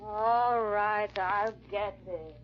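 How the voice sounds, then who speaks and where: An actress playing a thrifty, practical country blacksmith's wife in a 1940s radio drama. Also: female voice, medium pitch, fairly even intonation, drawn-out words. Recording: muffled, faintly noisy vintage radio.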